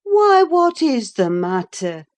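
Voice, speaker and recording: A woman reading an audiobook, voicing a sensible older woman servant who speaks in a firm, calming way. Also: medium pitch, slow pace, voice pushed up. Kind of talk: audiobook character voice.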